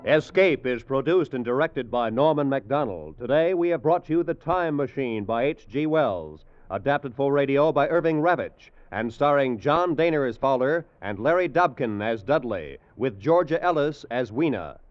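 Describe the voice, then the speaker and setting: A man's voice: mid-range voice, lively intonation, normal speed, hardly any pauses, dry recording. Dry, dull-sounding vintage male radio announcer. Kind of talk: scripted 1950s radio announcing.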